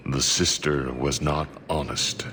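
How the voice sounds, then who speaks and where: A huge, devout horned warrior mage from a fantasy video game. The voice is extremely deep and slow, with a bright, forceful edge. The phrasing is halting, stoic and terse. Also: male voice, steady tone, slight background noise, heavily acted. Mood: grave, stoic. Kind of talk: video game cutscene acting.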